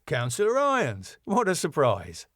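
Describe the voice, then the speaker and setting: Man putting on a brightly innocent, cheeky fellow. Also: sits high, animated, moderate pace, no echo, clean studio sound, pitched above the performer's own voice.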